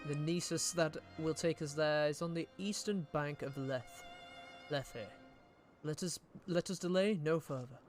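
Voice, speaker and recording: A man voicing a polite adventurer heroine in game dialogue, reading in measured formal phrasing with occasional stumbles. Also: a little high, soft background music, lightly acted.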